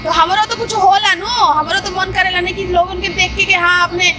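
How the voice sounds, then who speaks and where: Very high-pitched Bhojpuri nagging wife played by a woman. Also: fairly even intonation, moderate pace, over a noisy crowd, heavy background noise. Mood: insistent, aggrieved. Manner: complaining, insistent, repeating herself. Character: nagging, suspicious, jealous village wife with children. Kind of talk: comedy skit dialogue as a phone quarrel.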